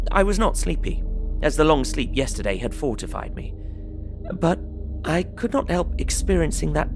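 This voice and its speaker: An actor playing an earnest, polite young English solicitor, narrating his journal in measured, bookish Victorian phrasing for an audio drama. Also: medium pitch, creaky. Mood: weary, reflective.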